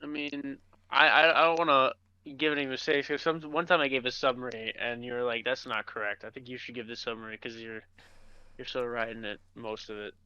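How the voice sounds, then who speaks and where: A man's voice: medium pitch, steady tone, brisk, pushed and bright, clear, resonant tone, dry recording. A man co-hosting a podcast with casual, blunt, slangy banter and free swearing, on a slightly dull microphone. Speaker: podcast co-host.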